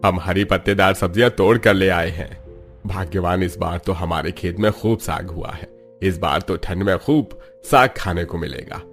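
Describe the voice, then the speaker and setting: A man acts an older father-in-law and farmer in a cartoon family tale. His voice is deep, and he speaks heartily, with the authority of the head of the house. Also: normal speed, soft background music. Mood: pleased, commanding. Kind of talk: animated Hindi family story dialogue.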